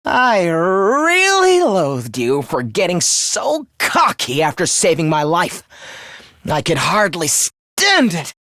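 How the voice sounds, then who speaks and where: A man's voice: fairly high pitch, wildly swooping pitch, slow, no room sound, clean studio sound, full character voice. A man playing a contemptuous, human-hating young villain in a video game, theatrical and scheming in his delivery. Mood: seething loathing. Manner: theatrical, contemptuous, swinging from hushed menace to shrieking. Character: young male traitor, genocidal, gloating, human-hating schemer. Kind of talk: video game voice acting, villain confession monologue.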